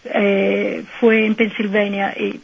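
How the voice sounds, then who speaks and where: Italian woman guest, husky voice on a dull phone line, halting speech with fillers. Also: mid-range voice, little pitch movement. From Italy. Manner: hesitant, many fillers, searching for words. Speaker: nun and guest. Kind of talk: radio phone interview.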